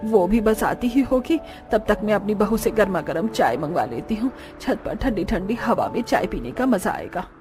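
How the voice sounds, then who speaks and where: A woman's voice: medium pitch, animated, moderate pace, hardly any pauses, over music, natural voice. A woman voicing an older village mother-in-law in a cartoon, sociable, gossipy and used to directing others. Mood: gossipy, cheerful. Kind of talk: Hindi cartoon story dialogue.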